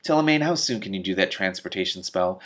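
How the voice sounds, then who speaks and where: A man's voice: sits low, lively intonation, fast, a bit bright. A man voicing a resolute, commanding wife from a storybook, firm and decisive. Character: resolute, commanding wife reclaiming her husband's sword.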